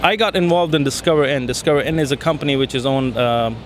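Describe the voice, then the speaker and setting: A man in his thirties from India with an Indian accent, answering interview questions outdoors in a city square, pausing often with fillers. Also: medium pitch. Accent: Indian, Punjab. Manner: hesitant, many fillers. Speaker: interview guest. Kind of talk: casual interview. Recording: street traffic noise behind. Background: city traffic.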